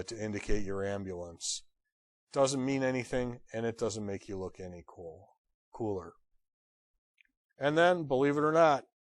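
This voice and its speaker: A man giving an instructional lecture as a trainer, blunt and direct with wry humor and emphatic pauses on key phrases. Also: on the low side, no echo, studio quality. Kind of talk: instructional lecture on radio procedure.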